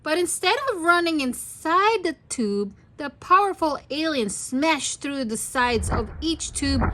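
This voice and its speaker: A woman's voice: high voice, expressive, measured pace, sharp tone, poor audio. A woman reading a story aloud expressively for young children. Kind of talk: children's read-aloud narration.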